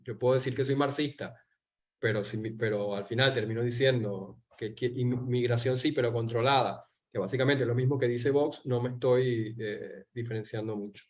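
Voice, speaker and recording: A Venezuelan man hosting a political interview, talking in a measured way and pausing to search for words. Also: mid-range voice. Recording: dull lo-fi sound.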